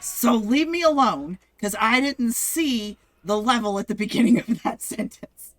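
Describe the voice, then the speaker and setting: A woman's voice: fairly high pitch, measured pace. A woman in her thirties chatting playfully on a game stream, teasing and giggling. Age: 30s. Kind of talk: casual game-stream chat.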